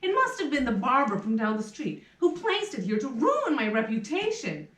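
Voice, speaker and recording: A woman's voice: on the high side, sing-song, normal speed, fairly bright tone, pitched above the performer's own voice. Flustered, servile barber played by a woman. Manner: hesitant, apologetic, theatrical. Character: meek, flustered, servile male barber, puppet. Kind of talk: puppet theatre acting. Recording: roomy, distant rehearsal recording.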